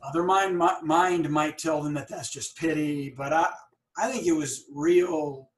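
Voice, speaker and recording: A man's voice: on the high side, steady tone, unhurried. A middle-aged man hosting an interview, talking earnestly and stuttering now and then on words. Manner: earnest, stammers and repeats words. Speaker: podcast host; dentist and practice owner. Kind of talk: conversational interview.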